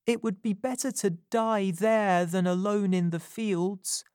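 A woman's voice: medium pitch, measured pace, a little mellow, smooth, no echo, studio quality, voice pushed up, natural voice. A woman reading a storybook and voicing a weak, wounded young boy who speaks in a frightened, faltering way. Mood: desperate.

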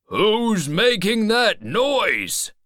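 A reader voicing a wary but kindly old man in a storybook, calling out loudly and gruffly. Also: medium pitch, very animated, drawn-out words, no echo, clean studio sound, pitched above the performer's own voice, full character voice. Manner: loud, calling out.

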